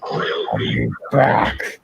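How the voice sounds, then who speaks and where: A man voicing a vengeful male ghost engine in a fan-made film, speaking slow threats. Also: a crowd in the background. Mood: menacing. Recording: distant, echoey, noisy film audio.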